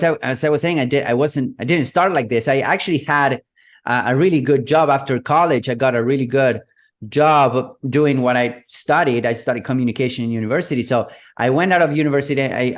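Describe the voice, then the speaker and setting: Argentine man, easygoing rambling guest. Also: medium pitch. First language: Spanish. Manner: relaxed, rambling with many fillers like "you know" and "whatnot". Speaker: guest, digital marketing consultant. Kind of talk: interview guest, storytelling.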